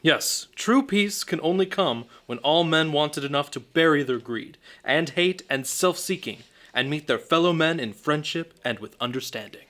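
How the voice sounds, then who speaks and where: A man's voice: fairly high pitch, lively intonation, normal speed, few pauses, a bit bright. A man playing a confident, noble superhero with a genial manner in an old-time radio serial. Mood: earnest.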